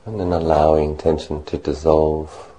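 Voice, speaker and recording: A man leading a guided meditation as a monk, speaking gently and unhurriedly in short phrases with long pauses. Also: very deep voice, flat delivery.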